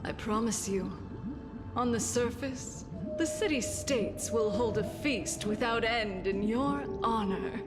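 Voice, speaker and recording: A sorrowful sea siren speaks to her own in a video game. She is tender and solemn, with a slightly high voice and slow, measured phrases. Music plays behind her. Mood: solemn tenderness. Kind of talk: dramatic video game voice acting.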